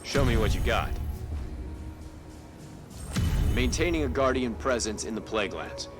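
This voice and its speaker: A man's voice: mid-range voice, lively intonation, fairly quick, over music, lightly acted. Man as a cool, wry game scout. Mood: urgent, cool. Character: wry, casual male scout briefing a soldier on enemies. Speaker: mission briefer. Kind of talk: cinematic video game acting.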